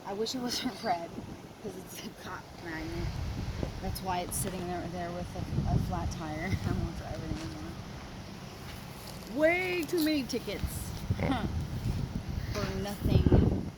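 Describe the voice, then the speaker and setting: A woman chatting casually and telling stories in an animated, slangy, sometimes profane way. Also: lowish voice, measured pace, pauses often. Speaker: former car owner being interviewed. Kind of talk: casual chat, storytelling. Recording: noisy recording with vehicle ambience. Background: vehicle and ambient noise.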